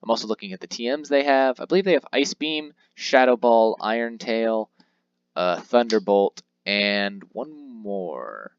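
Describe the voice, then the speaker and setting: A man's voice: on the low side, animated, measured pace, clear, resonant tone, dry recording, highs cut off. A young man casually commenting on his playthrough of a handheld game, rambling and poking fun at himself. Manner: rambling, self-deprecating, reads game text aloud in voices. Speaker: let's play host.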